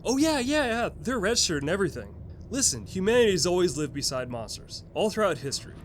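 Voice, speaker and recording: An actor playing a folksy, earnest retired uncle in an audio sketch comedy. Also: male voice, high-pitched, very animated, fast talker, slight background noise. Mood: earnest explaining. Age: older. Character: retired fatherly uncle, earnest and matter-of-fact about monsters.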